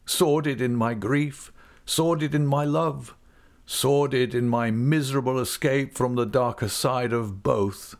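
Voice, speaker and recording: A man voicing a distraught, self-loathing older gentleman in a story, impassioned and lamenting, with drawn-out words. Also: mid-range voice, voice pushed up, lightly acted. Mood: anguished, self-loathing.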